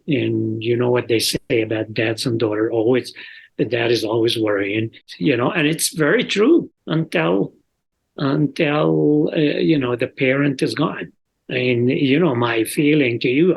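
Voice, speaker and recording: An older man, a father talking casually and reflectively in an interview, halting, with non-native English phrasing. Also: medium pitch, gravelly, husky, rough recording.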